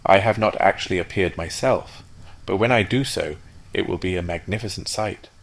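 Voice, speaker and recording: A man's voice: sits low, moderate pace. A man voicing a conceited male firework rocket who talks in a haughty, lecturing way. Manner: haughty, self-important, lecturing.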